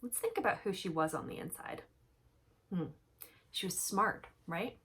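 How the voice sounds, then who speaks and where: Female storyteller for young kids: husky, medium pitch, highly animated, emphatic, talks directly to the child. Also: moderate pace. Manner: expressive, emphatic, addresses children with questions.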